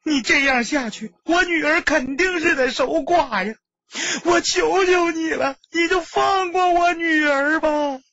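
Sobbing middle-aged mother, played by a man: slow, high, pleading, slightly muffled audiobook sound. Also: bright, forceful tone, dry recording, heavily acted. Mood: sobbing, frantic. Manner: wailing, drawn-out pleading. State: crying.

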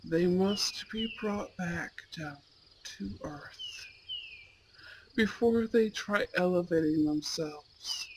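A woman voicing a proud, imperious young male student in a roleplay audio drama, with haughty, formal and deliberate diction. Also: lowish voice, slight background noise, rough recording. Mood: protective, stern. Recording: steady outdoor bird and insect ambience. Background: birdsong and insects.

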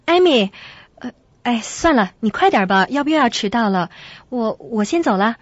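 A woman playing a quiet, anxious, reserved young secretary in a radio drama, speaking hesitantly. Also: a little high, lively intonation, moderate pace, a little hiss or hum, natural voice. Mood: hesitant, worried. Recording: dull lo-fi audio.

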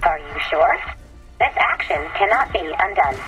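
A woman's voice: fairly low pitch, expressive, a little slow, dull audio, music in the background. A woman playing a literal-minded robotic assistant in a video game, polite, formal and stilted, with processed robotic sound. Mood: impassive.

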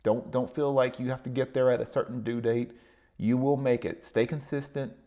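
A man's voice: medium pitch, fairly even intonation, moderate pace, muffled recording. A man hosting a podcast, giving a solo motivational talk in a rambling way, with many fillers and repeated words. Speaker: podcast host.

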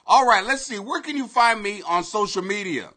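A man in his forties hosting a casual live webcam show from his home basement, talking loosely with swearing, loud bursts and laughing asides. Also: high voice, expressive, moderate pace. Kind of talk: casual profane live commentary. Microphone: webcam at desk.

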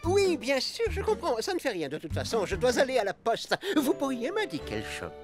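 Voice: medium pitch, wildly swooping pitch, brisk, over music. An actor playing a sly, falsely sweet male kidnapper, a blustering villain in a French children's cartoon dub. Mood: coaxing.

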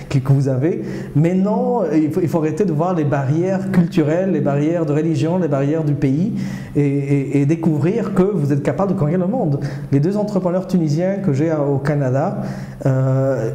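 A man's voice: a little high, warm tone. A man in his forties from South America, giving hesitant but earnest interview answers full of fillers, seated in a small studio with a lapel mic. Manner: hesitant, many fillers and restarts; earnest and persuasive, gestures with hands. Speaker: startup accelerator director. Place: small indoor studio, seated before a screen. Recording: roomy echo.

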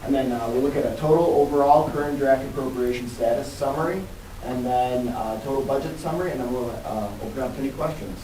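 A man's voice: medium pitch, fast talker, mellow. Echoey, distant man presenting a budget. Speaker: school budget official presenting. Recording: echoey, distant mic, faint noise.

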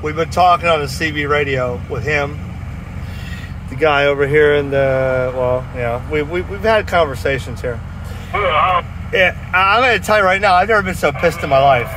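A man chatting casually in a folksy, slangy, animated way, recorded inside a vehicle. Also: on the high side, moderate pace, rough recording. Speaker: vlogger chatting on CB radio. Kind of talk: casual chat. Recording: faint vehicle noise.

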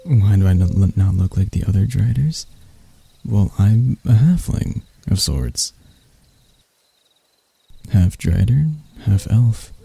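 A man voicing a sadistic, seductive male spider-elf predator in intimate ASMR roleplay, breathy and teasing with his endearments. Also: on the low side, lively intonation, dark tone, full character voice. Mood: predatory, teasing. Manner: slow, teasing, purring endearments.

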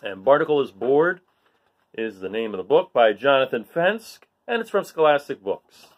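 A man's voice: mid-range voice, big pitch swings, normal speed. A middle-aged man hosting a storytime for children, explaining things and addressing the young listeners directly. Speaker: storytime host. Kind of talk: read-aloud talk to children. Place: bright living room, indoors.

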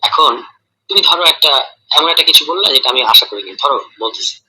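A man with a Bangladeshi accent in a private, casual phone call, speaking in a hesitant, halting and defensive way on a tinny recording. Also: a little high, big pitch swings, sharp tone, slight background noise. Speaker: caller in a private phone conversation.

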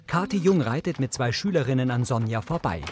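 Measured male audio description narrator. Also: medium pitch, expressive, noisy background. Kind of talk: scripted narration of on-screen action.

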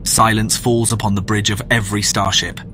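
A man's voice: lowish voice, level tone, fairly quick, background noise, close to an everyday voice. A man narrating a science fiction audio drama as a solemn storyteller of a space war.

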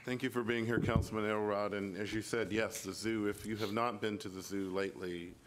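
A man's voice: on the low side, steady tone, brisk. A man chairing a public meeting informally, speaking as board chairman at a table microphone. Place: indoor meeting room.

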